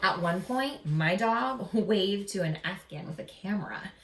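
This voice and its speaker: A woman reads a children's story aloud with very lively intonation and dramatic pauses. She sounds like a teacher addressing young listeners, recorded in a reverberant room slightly away from the mic. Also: medium pitch, recorded from a distance, background noise. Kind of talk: expressive picture-book reading with asides to young listeners.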